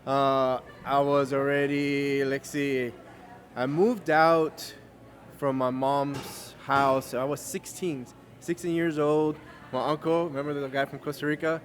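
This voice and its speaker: A man's voice: medium pitch, slow pace. A Cuban-born man, Spanish his first language, telling his family's story with no trace of a Cuban accent. He talks loosely and casually, restarting sentences and leaning on "uh" as he goes. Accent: American English, no Cuban accent. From Cuba. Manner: relaxed storyteller, many fillers and restarts. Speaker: guest. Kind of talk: casual interview chat.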